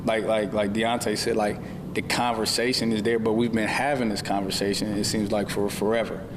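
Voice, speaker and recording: A man speaking as a panel guest in a halting, reflective conversational way. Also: fairly low pitch, flat delivery. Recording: reverberant, clearly noisy recording.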